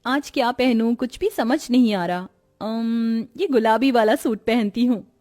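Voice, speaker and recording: Casting: actress as girlish, naive younger sister for animated drama, high voice, unhurried. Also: natural voice. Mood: playful. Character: timid, innocent young woman, fearful younger sister.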